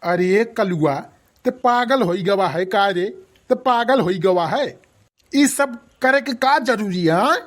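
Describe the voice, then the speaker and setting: Woman as older cartoon uncle, middling female-range pitch, Awadhi accent, sarcastic, grumbling, admonishing. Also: expressive, slow pace. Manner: mocking, admonishing. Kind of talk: comic cartoon dialogue.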